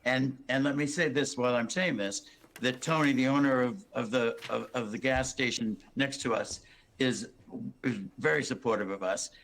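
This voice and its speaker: A hoarse man presenting his building plan to a town planning board, rambling with many restarts and fillers. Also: mid-range voice, moderate pace, a bit bright. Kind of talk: public meeting testimony.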